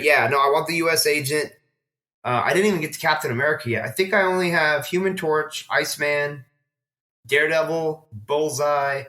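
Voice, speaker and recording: A man's voice: mid-range voice, pushed and bright. A man in a casual podcast chat, talking in a rambling, slangy, enthusiastic way as a collector guest.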